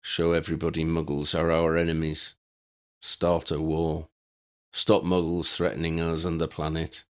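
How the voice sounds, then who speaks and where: A man as a dazed, monotone, low-voiced captive. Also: moderate pace. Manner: monotone, clipped phrases. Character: drugged, half-asleep male conspirator under truth serum.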